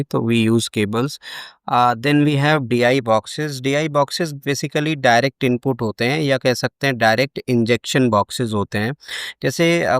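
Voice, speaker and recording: A man's voice: medium pitch, clear, resonant tone, no echo, studio quality. A man with an Indian accent giving an explanatory lecture as a teacher, switching between two languages and restating his points. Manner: repeats phrases in both languages. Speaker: electronics lecturer. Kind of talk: explanatory lecture mixing Hindi and English.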